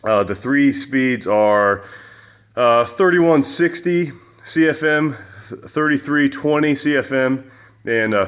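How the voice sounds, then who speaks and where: A man with a loud voice, casually reviewing a fan in his home gym, rambling with lots of fillers and restarts. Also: medium pitch. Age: adult with children. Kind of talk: casual product review vlog.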